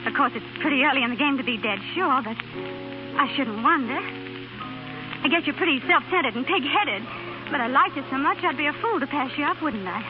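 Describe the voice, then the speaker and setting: A woman's voice: high-pitched, lively intonation, fairly quick, music in the background. A woman acting a plucky, devoted young sweetheart in a 1930s radio drama, folksy, affectionate and plain-spoken. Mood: frank, fond. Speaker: radio actress. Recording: muffled, hissy 1930s radio broadcast.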